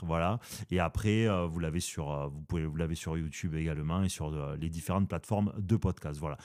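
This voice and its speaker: Man, southern French accent, very low pitch, mellow dark tone, podcast host, hesitant and playful. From southern France. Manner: hesitant, many fillers, playful. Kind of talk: casual podcast chat.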